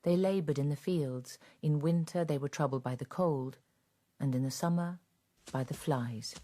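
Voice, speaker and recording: A woman narrating a radio drama as a grave, measured storyteller of a farm fable. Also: deep voice, dark tone. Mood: sombre.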